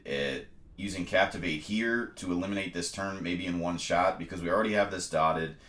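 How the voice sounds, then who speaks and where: A man's voice: low-pitched, normal speed, fairly bright tone, reverberant. A congested man methodically explaining a video game as he plays, talking through each choice with frequent ums. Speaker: let's play host. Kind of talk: casual gameplay commentary and tutorial.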